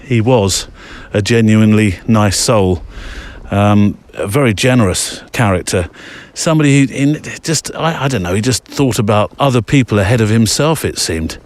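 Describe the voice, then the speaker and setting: A man's voice: on the low side, moderate pace. Male host, unscripted walk-and-talk, meandering with plenty of ums and self-corrections, recorded outdoors. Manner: rambling, with frequent ums and restarts. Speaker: podcast host. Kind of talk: casual spoken monologue. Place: outdoors in a snowy mountain area.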